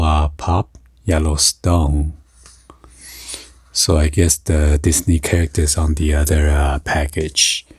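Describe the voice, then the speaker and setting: A man casually narrating an unboxing in a halting, hesitant way, as the host of the video. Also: extremely low pitch, darkish tone, dry recording, studio quality. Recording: noisy, with crinkling packaging and clicks. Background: packaging crinkling and clicking.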